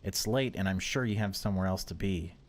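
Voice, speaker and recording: A man's voice: deep voice, brisk. Man playing a stern, drawling patrol cop. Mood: stern warning. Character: uniformed male patrol cop, stern, warning.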